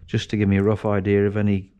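A man's voice: lowish voice, completely flat delivery, fast, warm tone, smooth. A man casually talking through an engine rebuild as he works, thinking aloud and correcting himself. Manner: thinking aloud while working, with restarts and self-corrections. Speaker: home mechanic vlogger. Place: large cluttered garage workshop, indoors. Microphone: chest-mounted action camera.

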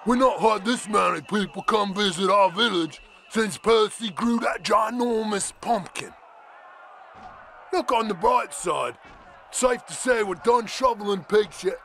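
A young British medieval peasant for a video game, with a high, bright, forceful voice. He is chirpy, timid and excessively polite, speaking slowly and theatrically. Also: gravelly, soft background music, full character voice. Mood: cheerfully resigned. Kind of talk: video game character voice lines.

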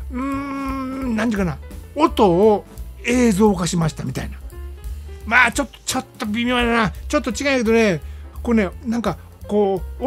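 Give the voice of a Kansai-accented radio host talking through a film review. The delivery is casual and explanatory, peppered with little tag particles that check in with the listener. The pitch sits in a middle range, with music playing behind. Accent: Kansai Japanese. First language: Japanese. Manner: conversational, explanatory, frequent "ne" tags. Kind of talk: casual movie review monologue. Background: background music.

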